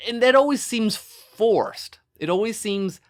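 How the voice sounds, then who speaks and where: A man with a slightly high voice speaks as a podcast co-host. He talks in a casual, conversational way, putting emphatic weight on his points and keeping an informal, animated manner. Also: unhurried. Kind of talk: casual conversational discussion of film and storytelling.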